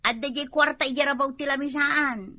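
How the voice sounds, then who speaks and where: An actress playing a bossy, nagging village wife with an Ilocano accent in a comedy radio drama. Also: female voice, high voice, steady tone, fairly quick, sharp tone, no room sound, clean studio sound. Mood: ordering. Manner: scolding, demanding.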